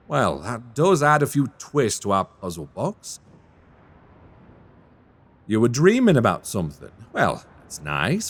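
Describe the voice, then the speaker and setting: A man's voice: on the low side, slightly rough, exaggerated voice. A man playing a cheeky, panicky young rogue in a fantasy audio roleplay, rambling in flustered, colloquial banter.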